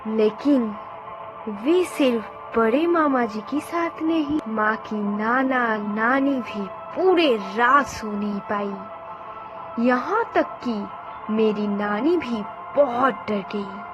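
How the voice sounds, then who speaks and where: A woman's voice: fairly high pitch, drawn-out words, dull audio. A woman storyteller narrating a family ghost story in a dramatic style. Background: music.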